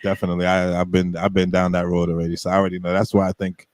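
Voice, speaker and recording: A middle-aged man hosts a casual podcast in a very deep, low voice. He rambles in a relaxed way and restarts his sentences often. The sound is dry and close. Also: rapid-fire, dry recording. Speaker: podcast host.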